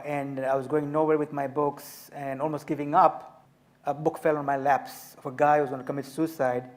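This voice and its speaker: Tanzanian guy in his 50s, slightly high voice, measured storytelling on a panel. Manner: measured, emphatic storytelling with pauses. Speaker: author and inspirational speaker. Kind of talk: panel discussion at a festival.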